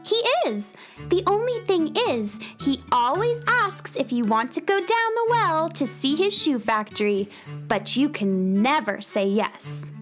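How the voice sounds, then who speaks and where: A woman's voice: sits high, very animated, unhurried, soft background music. A woman playing a friendly, earnest young space girl in a children's radio drama, speaking with childlike eagerness. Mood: cheerful, eager.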